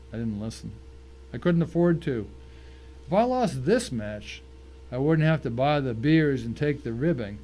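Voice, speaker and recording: A man's voice: mid-range voice, dark tone, a little hiss or hum, close to an everyday voice, poor audio. A man playing a tense, hard-bitten thriller narrator who tells his own ordeal in dramatic scripted first person.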